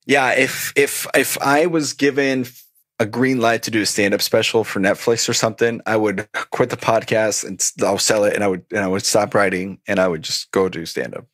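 A man in his thirties, originally from Colombia, a stand-up comedian telling stories in a casual interview, animated and laughing often as he acts out what people said. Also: fairly low pitch, moderate pace, no echo, clean studio sound. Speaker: podcast guest, stand-up comedian.

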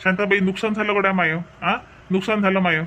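A man's voice: sits high, level tone, fast talker, bright, forceful tone, a little hiss or hum. A man voicing a stubborn, haggling street peddler in a cartoon, with a Varhadi Marathi accent. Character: pushy middle-aged male street peddler. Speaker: umbrella seller.

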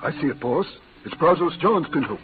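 A man's voice: fairly high pitch, expressive, fairly quick, lightly acted. An actor playing a loyal, puzzled cowboy hired hand in an old radio western, folksy and deferential to his boss. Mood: uneasy, wondering. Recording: tinny, noisy old radio recording.

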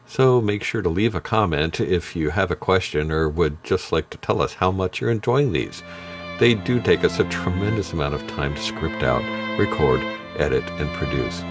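A middle-aged man with a low voice walks through a technical topic in a steady, explanatory way and drops in the odd dry joke. He sounds scripted and sure of his material, recorded in a studio. Music plays clearly underneath him. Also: normal speed. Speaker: automotive tuning instructor. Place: dark studio set with purple lights.

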